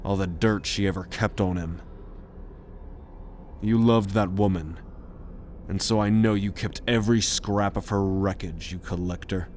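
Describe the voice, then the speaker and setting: A man's voice: low voice, fairly even intonation, a little slow, long pauses, darkish tone, a little hiss or hum. A man playing a cocky, commanding alien rogue with a sardonic manner in an ASMR roleplay audio drama. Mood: serious, persuasive. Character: cocky male alien rogue, bold leader on a mission.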